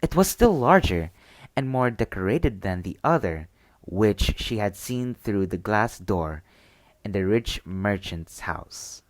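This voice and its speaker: A man reading a bedtime story as ASMR, with a gentle, deliberate storybook delivery. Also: lowish voice, lively intonation, dry recording.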